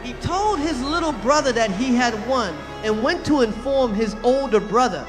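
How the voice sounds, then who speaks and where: A man's voice: extremely high pitch, animated, normal speed. A man narrating a scripted storybook-style tale as its narrator. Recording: loud music under the voice.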